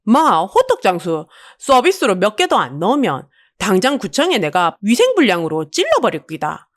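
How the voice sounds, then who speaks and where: A woman's voice: mid-range voice, wildly swooping pitch, normal speed, no echo, clean studio sound. Woman doing swaggering Busan street thug. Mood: threatening. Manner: threatening, swaggering. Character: burly, bullying male thug picking a fight.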